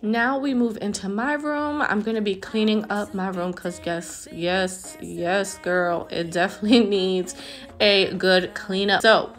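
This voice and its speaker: A woman in her thirties talks through her housework in a chatty, easygoing voice-over. Her pitch sits in the middle, and soft music plays faintly beneath her. The tone is casual and upbeat. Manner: chatty, upbeat narration with small laughs. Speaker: stay-at-home mom vlogger. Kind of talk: casual cleaning vlog voice-over.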